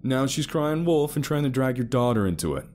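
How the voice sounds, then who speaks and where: A man's voice: on the low side, lively intonation, a little slow, mellow, a little hiss or hum. A man voicing a stern middle-aged father who barks orders. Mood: commanding. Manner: barking and commanding.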